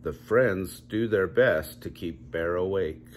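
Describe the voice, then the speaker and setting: An older man reading a children's story aloud at home in measured, drawn-out storybook phrasing. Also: sits low, a little hiss or hum. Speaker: grandpa reading a picture book aloud. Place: small home room, indoors.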